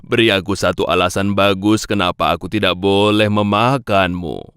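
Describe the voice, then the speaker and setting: A man's voice: low-pitched, steady tone, normal speed, fairly bright tone. A man voicing a proud, menacing lion king in a children's cartoon fable, speaking in an imposing, scornful way. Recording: music behind the voice.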